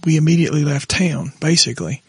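A middle-aged pastor, hoarse and raspy tonight, talking haltingly with his wife on their podcast. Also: fairly high pitch, dry recording. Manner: halting, restarts often when moved. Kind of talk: casual conversation with his wife.

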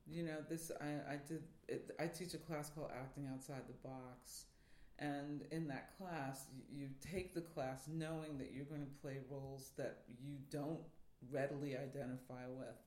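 Thoughtful New York-raised man, fairly high voice, roomy sound. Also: fairly even intonation, normal speed, darkish tone. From New York City. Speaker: theater professor. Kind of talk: reflective interview talk. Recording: roomy echo.

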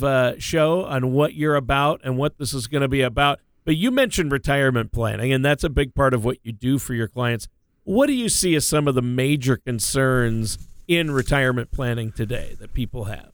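A man's voice: mid-range voice, clear, resonant tone, no room sound. A man co-hosting a radio show, upbeat and agreeable, steering the talk with questions. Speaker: radio co-host.

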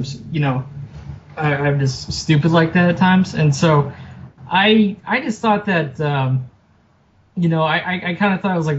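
Rambling man with dull lo-fi audio. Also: on the high side. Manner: rambling, hesitant, lots of fillers and restarts, laughs easily. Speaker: guest, writer. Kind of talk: casual interview.

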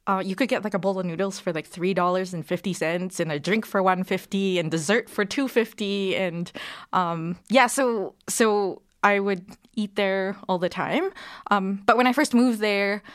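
A woman's voice: medium pitch, moderate pace. A woman, a playwright and actor answering interview questions hesitantly, with many ums. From Singapore. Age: 30s.

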